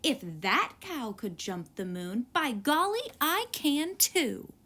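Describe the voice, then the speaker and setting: A woman's voice: high-pitched, sing-song, slow, sharp tone, exaggerated voice. A woman voicing a determined, plucky female cow from a children's storybook, earnest and emphatic. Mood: defiant, determined.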